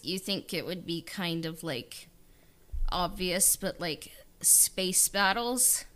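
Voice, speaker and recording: Bright, clear-voiced woman, unhurried. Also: mid-range voice. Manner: thinking aloud, frequent "like" and "kind of". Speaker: audio drama writer being interviewed. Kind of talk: casual, reflective conversation.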